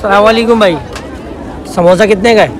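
A man's voice: high voice, steady tone, moderate pace, a crowd in the background. Chatty man vlogging at a busy market. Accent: Karachi Urdu. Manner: chatty, friendly greetings to sellers. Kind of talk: casual market vlog, asking prices. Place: busy outdoor street market. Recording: noisy crowded market.

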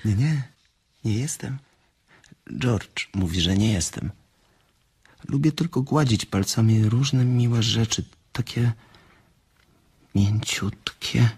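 An actor playing a big simple-minded farmhand with a childish, repetitive way of talking, in a radio drama. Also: male voice, lowish voice, takes it slowly, pauses often, darkish tone, slightly rough, exaggerated voice. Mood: childlike delight.

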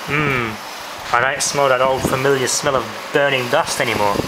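Aussie guy muttering through a diy fix, medium pitch, noisy camera mic with clicks. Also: fairly even intonation, normal speed. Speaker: DIY vlogger. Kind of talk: casual hands-on repair commentary. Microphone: handheld camera's built-in mic.

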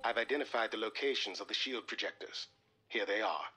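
An actor playing a grave, analytical middle-aged military science advisor in a video game, speaking in a measured, formal way. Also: male voice, fairly low pitch, pushed and bright, breathy edge. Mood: focused briefing. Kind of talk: video game dramatic acting.

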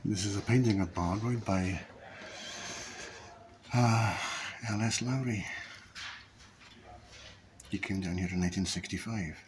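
A man casually narrating a walking tour, pausing with "uh" as he points things out, recorded on a handheld phone. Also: on the low side. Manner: unhurried, pauses with "uh" while pointing things out. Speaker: video tour guide. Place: walking through a library and along a high street. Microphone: handheld phone camera. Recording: noisy phone recording with room ambience.

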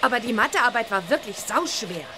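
A woman's voice: a little high, expressive, fairly quick, noisy background, close to an everyday voice. A woman playing a cheeky, plucky young witch girl in a children's radio play. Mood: cheeky, rueful.

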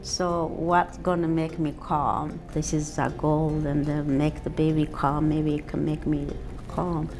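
A woman's voice: deep voice, little pitch movement, measured pace, hardly any pauses. An older woman from Ethiopia with an Ethiopian accent, a restaurant owner talking earnestly in a sit-down interview in her restaurant dining room. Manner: warm, earnest, with simple non-native phrasing. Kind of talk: casual interview.

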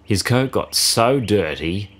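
Unhurried, expressive low-voiced man reading a story. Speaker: storybook narrator. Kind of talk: scripted rhyming children's story read-aloud.

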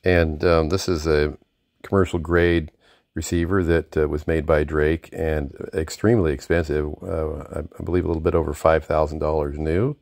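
A man's voice: very deep voice, level tone, moderate pace, gravelly, darkish tone, no echo, studio quality. A middle-aged or older man giving a casual, unscripted product demonstration in a hesitant, rambling, self-deprecating manner. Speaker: vintage radio collector presenting a receiver. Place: indoor room, at a desk with radio gear.

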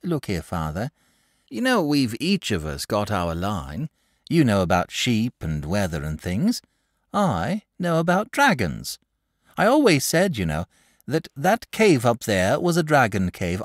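A calm, bookish young boy, as a male reader puts him on, speaking in an even, matter-of-fact way with a lively lilt. The recording is close and dry. He is a storybook figure. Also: mid-range voice, dry recording, voice pushed up.

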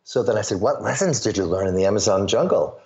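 A man's voice: fairly low pitch, fast. Midwest guy coaching on sales stories, does little voices for the people he quotes, kinda muffled audio. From suburbs of Chicago, US Midwest. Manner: animated storyteller, acts out quoted voices. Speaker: guest, sales pitch coach. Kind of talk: interview answers with stories.

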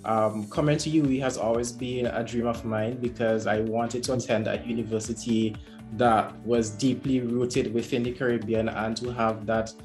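A man's voice: medium pitch, flat delivery, moderate pace, darkish tone, soft background music. A man, speaking as a student guest on a video-call panel. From Saint Vincent and the Grenadines. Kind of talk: self-introduction on a panel. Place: indoor room, video call.

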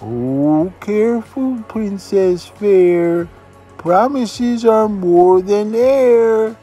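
A storyteller voicing a courteous male frog who speaks slowly and politely in a children's story. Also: medium pitch, lively intonation, warm tone, dull audio, faint music behind, pitched above the performer's own voice. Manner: slow, drawn-out courteous speech. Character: polite, patient male frog with a rhyming warning. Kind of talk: storybook character voice.

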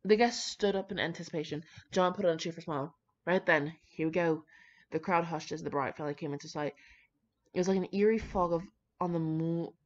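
Young adult woman, low register, informal fan fiction reader who trips over words; dull home recording. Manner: informal, slangy, swearing, stumbles over words while reading. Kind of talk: reading fan fiction aloud with casual, chatty asides.

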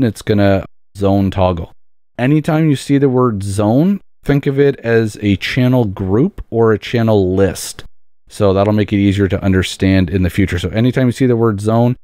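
A man narrating a step-by-step software tutorial in an explanatory manner, repeating key points for emphasis. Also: fairly low pitch, no echo, clean studio sound. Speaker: tutorial presenter.

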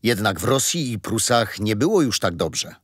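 An actor playing a patient, gentle grandfather telling children a history story in a radio play. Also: lowish voice, sing-song, normal speed, husky, dry recording, studio quality. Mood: patient, fond. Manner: gentle, didactic.

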